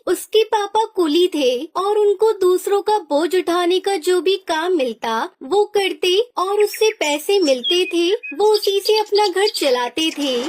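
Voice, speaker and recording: A woman storyteller reading a scripted children's story as narrator. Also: very high voice.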